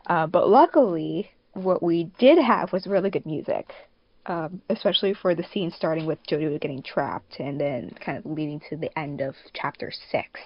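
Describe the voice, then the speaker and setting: A woman's voice: low voice, animated, moderate pace, breathy edge, no room sound. Woman chatting casually, muffled dry audio. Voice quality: frequent "like" as a filler. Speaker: podcast co-host, fandub producer. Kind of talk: casual podcast chat.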